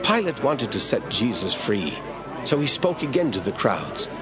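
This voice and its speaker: A man narrating scripture in a radio drama, reading in a steady, measured way. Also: medium pitch, over music, crowd noise.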